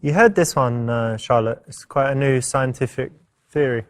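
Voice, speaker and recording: A man with a medium-pitched voice coaches on dating. He explains in a conversational way and voices little examples as he goes. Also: normal speed.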